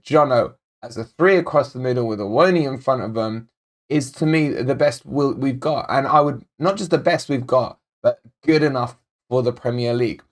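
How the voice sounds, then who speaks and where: A man hosting a football fan channel, with a slightly croaky voice and a mid-range pitch. He talks chattily and directly, calling his viewers guys as he goes through the match. He speaks into a desk microphone. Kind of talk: casual match analysis to camera.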